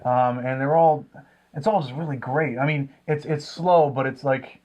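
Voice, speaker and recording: A man's voice: medium pitch, fairly even intonation, normal speed, a little mellow. A man co-hosting a review show in casual chat, hesitant and often repeating his words.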